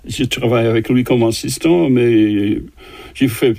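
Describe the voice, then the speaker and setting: Older guy, husky voice, dry close sound, lots of euhs and restarts. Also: medium pitch, darkish tone, dry recording. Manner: halting, many fillers and restarts. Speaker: composer guest. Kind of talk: interview answers in a second language.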